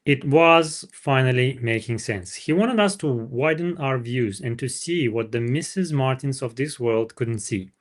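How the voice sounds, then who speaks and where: Male book reader for a livestream, medium pitch, narration with informal asides. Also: expressive, a little slow, hardly any pauses. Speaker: livestream host reading a book aloud.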